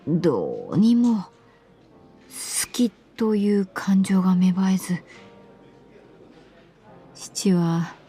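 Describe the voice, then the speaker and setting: An earnest, awkward man, voiced by a woman narrator, speaks politely and haltingly in a mellow tone. He is a gentle radio-drama figure who takes his time, with faint music underneath. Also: sits high, full character voice. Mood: hesitant, sheepish. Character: earnest awkward man passionate about animals, hesitant in love.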